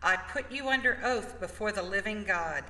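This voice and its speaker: Sharp, echoing accuser read by a parishioner. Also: medium pitch, expressive, normal speed. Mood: stern, demanding. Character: stern high priest and accusing witness in Gospel. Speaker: parishioner reading a Gospel part. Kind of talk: dramatic scripture reading. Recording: roomy church echo, long reverb.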